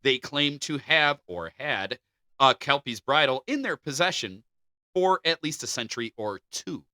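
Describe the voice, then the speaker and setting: A man's voice: mid-range voice, unhurried, no echo, clean studio sound. A man co-hosting a podcast, reading folklore aloud and joking between the passages. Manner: animated, jokey. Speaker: podcast co-host.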